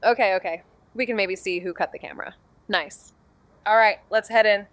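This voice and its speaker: An actress as a determined woman sleuth in a noir podcast. Her voice is mid-pitched and recorded very dry. She is blunt and wry, with clipped phrasing. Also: moderate pace, fairly bright tone, dry recording, natural voice. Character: wry, determined amateur woman investigator narrating her case. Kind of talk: noir audio drama dialogue and narration.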